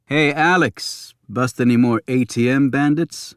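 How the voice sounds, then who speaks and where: A man's voice: mid-range voice, measured pace, a bit bright, natural voice. A wisecracking male agent from a thriller audiobook, put on by a male narrator. He needles his colleague with jokes. The sound is dry, with no echo. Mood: teasing. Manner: teasing, joking.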